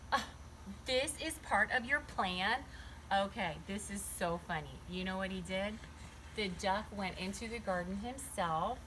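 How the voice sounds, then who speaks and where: A woman's voice: medium pitch, bright, forceful tone. Woman reading to kids, noisy recording. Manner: talks directly to children, asking questions and explaining simply. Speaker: children's read-aloud storyteller. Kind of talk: chatty picture-book read-aloud for young children.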